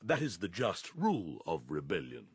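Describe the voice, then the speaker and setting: An actor playing a stern, menacing pig who rules a farm as dictator, gruff and commanding, in a radio drama. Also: male voice, sits low, wildly swooping pitch, moderate pace, dry recording, studio quality, heavily acted. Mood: coldly commanding.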